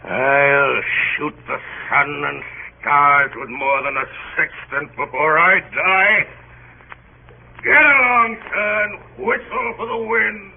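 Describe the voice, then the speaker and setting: Grandiloquent, menacing drunkard at sea; high, swinging delivery, vintage radio drama. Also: male voice, gravelly, husky, heavily acted. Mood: taunting, grandiose. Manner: theatrical, oratorical, drawn-out phrasing. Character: menacing, drunken, grandiloquent male doomsday passenger, taunting and pleading. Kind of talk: old-time radio drama acting. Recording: tinny band-limited old radio recording, faint noise.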